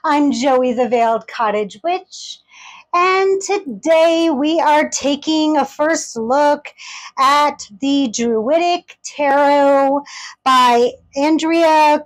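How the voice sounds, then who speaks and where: A woman's voice: high-pitched, low-quality recording. A woman reviewing a tarot deck in a casual, chatty way, gushing with enthusiasm and talking straight to her viewers. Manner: chatty, addresses viewers directly.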